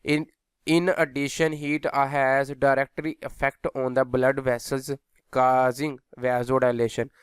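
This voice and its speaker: Male physiotherapy instructor, unhurried delivery, dry close sound, English terms mixed in. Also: medium pitch, level tone, clear, resonant tone, no echo. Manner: switches between Hindi and English technical terms. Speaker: physiotherapy lecturer. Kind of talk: instructional lecture explaining notes.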